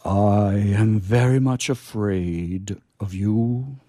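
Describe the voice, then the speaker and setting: Man playing a slow-spoken, naive, courtly angel. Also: on the low side, mellow, no room sound, clean studio sound. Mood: smitten, earnest. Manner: hesitant, formal, florid phrasing with oohs and hums. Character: naive, courtly, curious male angel discovering earthly pleasures. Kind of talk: comic stage radio play acting.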